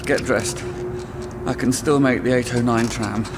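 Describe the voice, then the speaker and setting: A man's voice: mid-range voice, fairly even intonation, measured pace, natural voice. A man playing a bewildered young man turned into a giant insect in a radio drama, muttering and exclaiming with effort. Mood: straining, frustrated. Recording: music over the voice.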